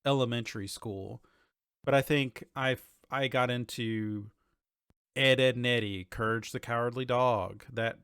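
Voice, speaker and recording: A man's voice: medium pitch, fairly even intonation, a little slow, fairly bright tone, smooth, dry recording, studio quality. A man in his thirties chatting casually as a podcast host, informal and conversational, often thinking aloud. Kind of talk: casual chat about TV and games.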